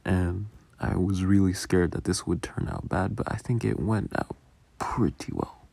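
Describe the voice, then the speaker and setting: A man's voice: sits low, measured pace, vocal fry, mellow, slightly rough, no room sound. A man giving casual, rambling behind-the-scenes commentary in a self-deprecating, casually sweary way. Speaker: audio roleplay creator.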